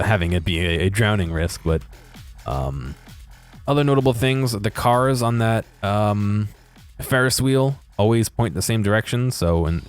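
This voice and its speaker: A man with a low voice narrates video game footage in an offhand, unscripted way. He muses aloud and hesitates as he works out what to say. Music plays behind his commentary. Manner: hesitant, musing, thinking aloud. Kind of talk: casual unscripted gameplay commentary.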